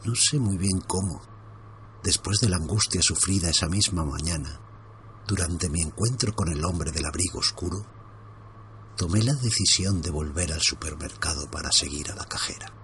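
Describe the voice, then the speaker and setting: Deep-voiced man from reading a horror story. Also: moderate pace, fairly bright tone, slight background noise. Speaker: narrator.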